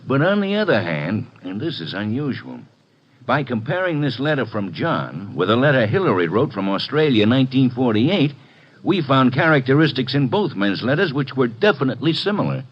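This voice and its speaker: A gruff police inspector. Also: male voice, mid-range voice, big pitch swings, brisk. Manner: gruff, blunt, matter-of-fact. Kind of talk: 1950s radio drama acting. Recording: muffled 1950s radio broadcast.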